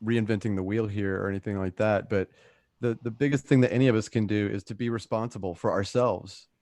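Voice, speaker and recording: Lowish-voiced man in dry, close sound. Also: steady tone, fairly quick, a little mellow, no room sound. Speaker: co-host and actor. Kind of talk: casual livestream chat.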